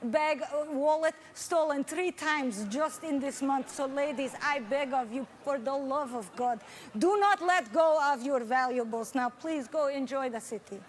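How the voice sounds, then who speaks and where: A young woman from Canada, whose first language is Hungarian, works a stand-up crowd with a high, bright voice. She rambles, restarts and teases herself. A faint hum sits under the stage mic. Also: measured pace. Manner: chatty, self-deprecating, lots of fillers and restarts. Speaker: stand-up comedian. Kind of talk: stand-up comedy set. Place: comedy festival stage.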